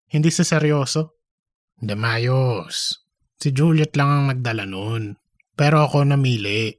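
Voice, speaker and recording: A man's voice: medium pitch, no room sound, close to an everyday voice. Guy doing a cocky gossipy office womanizer for a comedy audio story, mid voice, super dry recording. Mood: cocky, scornful. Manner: cocky, gossipy, repeats himself. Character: boastful philandering male office worker bidding to be manager.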